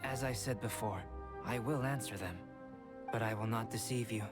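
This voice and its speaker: An actor playing a calm, aloof young alchemist in a video game, measured, polite and detached. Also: male voice, lowish voice, lightly acted. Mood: composed. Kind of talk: video game character dialogue. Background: music.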